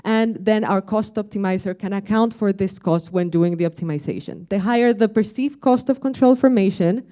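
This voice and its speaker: A woman's voice: medium pitch, moderate pace, hardly any pauses, warm tone. A young woman from Spain with a Spanish accent, giving a friendly, explanatory conference presentation with frequent fillers. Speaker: conference speaker. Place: conference room with audience.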